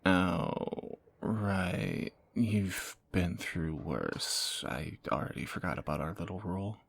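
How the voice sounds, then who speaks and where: A man playing a groggy fantasy assassin in an intimate romantic audio roleplay, husky and halting, with wry understatement. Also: deep voice, heavily acted. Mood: teasing, awkward. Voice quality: gravelly, husky morning voice. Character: groggy male fantasy assassin, softening captor, flustered and teasing.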